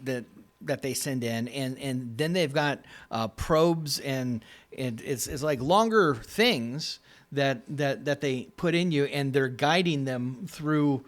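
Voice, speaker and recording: A man co-hosting a relaxed podcast at a mid pitch. He stumbles and restarts often, circling his point before landing a dry joke. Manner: halting, many restarts and fillers, dry jokes. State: recovering from recent surgery. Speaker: podcast co-host. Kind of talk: casual chat.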